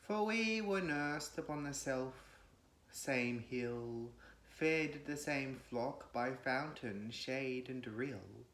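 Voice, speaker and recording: A man gives a solemn, declamatory, measured recitation of classical poetry. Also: medium pitch, lively intonation, fairly bright tone. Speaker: poetry reader. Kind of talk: dramatic recitation of classical verse. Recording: echoey room.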